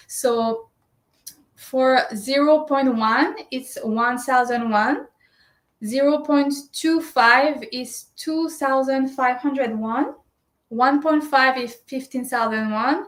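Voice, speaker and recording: A woman presenting a step-by-step screen tutorial, explaining as she goes and often checking in with "right?" and "uh". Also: high-pitched. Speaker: shopping service presenter. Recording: roomy echo.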